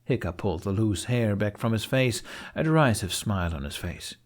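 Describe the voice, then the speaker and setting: A man reading fiction as an audiobook narrator, in an expressive, scripted storytelling style. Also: fairly low pitch, moderate pace.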